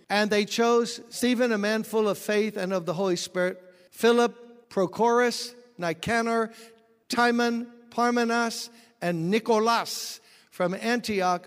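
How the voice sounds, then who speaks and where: A man's voice: high-pitched, big-room sound. A pastor preaching a sermon, explaining scripture and telling stories from his own ministry. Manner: expository preaching with personal stories.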